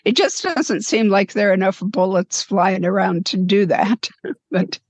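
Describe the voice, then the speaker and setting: A woman's voice: medium pitch, expressive, a little slow, no room sound. A woman in a casual film discussion whose voice is giving out. She is chatty, laughs often, and trails off and restarts.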